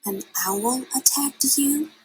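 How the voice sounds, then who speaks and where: A woman's voice: sits high, takes it slowly, a little mellow, breathy edge, pitched above the performer's own voice, exaggerated voice, poor audio. A woman reading a children's storybook, voicing a tender, confident mother bat with an emphatic, motherly manner. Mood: urgent. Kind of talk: children's storybook read-aloud.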